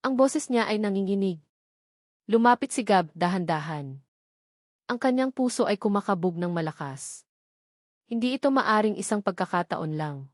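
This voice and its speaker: A woman's voice: medium pitch, a little mellow, dry recording, clean studio sound. A woman reading scripted dramatic narration of a romance story in an emotive, theatrical storytelling manner.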